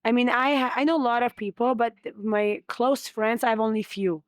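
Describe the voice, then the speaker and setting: A woman's voice: a little high, brisk, dry recording. A young woman with a Polish accent, chatting as an interview guest, filling her talk with "you know" and speaking earnestly. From Poland. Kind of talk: casual interview chat.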